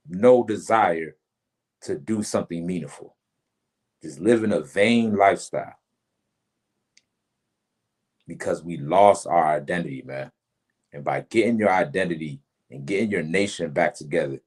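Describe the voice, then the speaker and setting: Deep husky man preaching in street slang. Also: darkish tone. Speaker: teacher leading a religious class. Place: outdoors during a storm.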